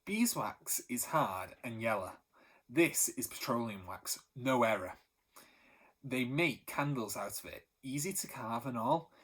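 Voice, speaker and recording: A man voicing a sharp-tongued London street boy, know-it-all and easily exasperated, with a husky voice. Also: mid-range voice, lively intonation, a little slow. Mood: matter-of-fact. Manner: knowing, exasperated sarcasm.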